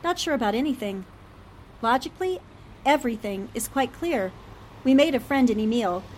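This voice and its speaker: A woman plays an earnest newspaperwoman in an old-time radio drama. Her voice sits fairly high and she reasons things out deliberately, sounding shaken yet determined. A faint rumbling noise runs underneath. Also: lively intonation, natural voice, poor audio. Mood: troubled, pondering.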